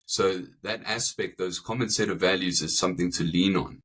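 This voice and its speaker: A man's voice: low-pitched, fairly even intonation, moderate pace, fairly bright tone, a little hiss or hum. A man with an English South African accent, a family insurance broker speaking thoughtfully in an interview and often repeating words as he builds his point. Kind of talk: interview conversation.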